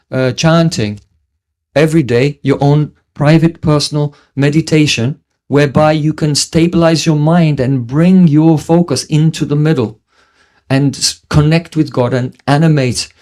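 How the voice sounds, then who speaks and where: A man's voice: on the high side, darkish tone. Earnest man giving a devotional lecture. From New Zealand. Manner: earnest teaching tone, frequent restarts, chanted Sanskrit verses. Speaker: spiritual teacher.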